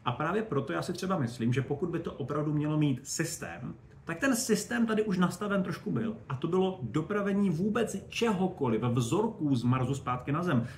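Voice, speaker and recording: A man giving animated, colloquial, digressive explanations as an expert guest in a casual interview. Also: on the high side. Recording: roomy echo, faint background noise.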